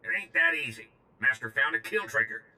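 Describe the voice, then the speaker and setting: A man's voice: fairly low pitch, completely flat delivery, a little fast, bright, forceful tone. A man playing a brash, slangy reprogrammed droid in a video game, talking bluntly and informally with a robotic edge. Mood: brash, urgent. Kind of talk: video game character dialogue.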